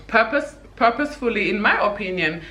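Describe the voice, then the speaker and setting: A woman from Senegal speaks straight to her viewers, laying out her views in an emphatic, conversational way and often dropping in "you know". Her voice is bright and forceful. The room around her gives it an echoey sound. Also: mid-range voice, animated, brisk. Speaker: vlogger. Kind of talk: opinion commentary vlog.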